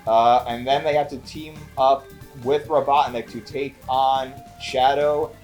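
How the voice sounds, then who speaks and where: A man's voice: medium pitch, steady tone, a little slow, darkish tone, faint music behind. A man co-hosting a casual movie review, with dry, joking asides. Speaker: co-host of a movie review show. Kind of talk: casual film review chat.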